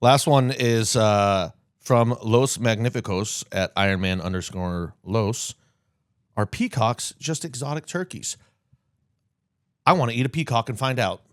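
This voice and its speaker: A man's voice: mid-range voice, animated, unhurried, dry recording, clean studio sound. A man co-hosting a podcast in loose, comedic banter, slangy and swearing often. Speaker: podcast co-host.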